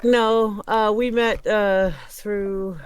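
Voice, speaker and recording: A man's voice: high voice, flat delivery, drawn-out words, clean tone. A middle-aged man chatting casually as a guest on a gaming stream. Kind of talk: casual chat.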